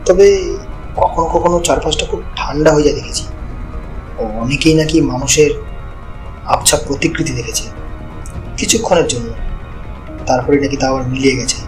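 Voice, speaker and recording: An actor playing a helpful, cautious young local guide in a suspense audio drama, speaking in a measured, advisory way. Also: male voice, a little high, darkish tone, close to an everyday voice, low-quality recording. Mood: matter-of-fact, eerie. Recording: voice over background music.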